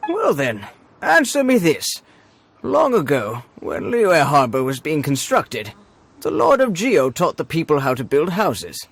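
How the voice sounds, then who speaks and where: A man's voice: a little high, huge pitch swings, measured pace, creaky, slightly rough, heavily acted. A man playing a devout, argumentative believer in a video game, declaiming his challenges. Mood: challenging, righteous. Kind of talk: scripted video game dialogue.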